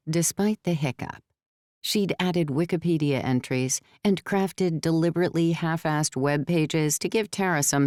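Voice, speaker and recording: Very low, smooth female narrator. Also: a little mellow, no echo, clean studio sound. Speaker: audiobook narrator. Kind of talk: scripted third-person fiction narration.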